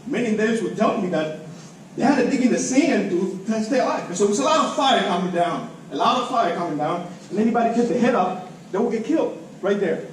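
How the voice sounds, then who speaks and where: A man's voice: sits high, expressive, fast. A middle-aged man from Cuba with a Cuban accent, a native Spanish speaker, giving an emotional, emphatic, informal public speech. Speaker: public commenter at a school board meeting. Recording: very echoey room, distant mic, faint noise.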